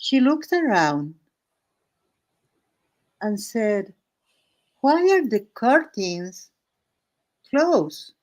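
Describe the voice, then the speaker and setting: A woman who is not a native English speaker tells an improvised story casually, halting phrase by phrase with searching pauses. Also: mid-range voice, very animated, a little mellow. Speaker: host of an art and story stream.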